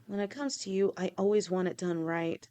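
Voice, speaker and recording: A woman voicing a gentle, protective snake-woman girlfriend who talks softly and reassuringly to her partner in an audio roleplay. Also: medium pitch, expressive, fairly quick, clear, resonant tone, close to an everyday voice. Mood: fond teasing. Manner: soft, reassuring, speaks directly to a partner.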